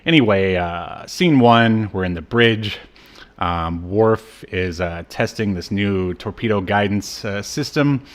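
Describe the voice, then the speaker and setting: A male podcast co-host with a lowish voice talks through a TV episode. His delivery is casual and halting, wandering into side remarks. He keeps the tone irreverent and jokey. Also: lively intonation. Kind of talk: casual chat recapping a TV episode.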